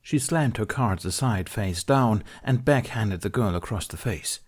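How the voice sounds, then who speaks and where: A male narrator reads a story from a script. His voice sits low, and the delivery is that of an audiobook. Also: animated, measured pace.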